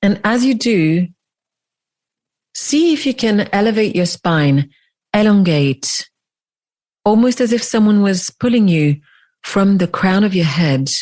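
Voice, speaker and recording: A woman leading a guided meditation as a teacher, speaking in deliberate phrases with long pauses. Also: medium pitch, lively intonation, a little mellow.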